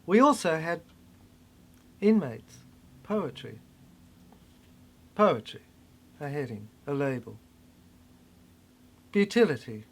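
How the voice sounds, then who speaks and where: Slow, halting speaker with dramatic swings. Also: mid-range voice, big pitch swings, breathy edge. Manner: halting, fragmented, emphatic repeated phrases. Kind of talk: rambling cryptic monologue.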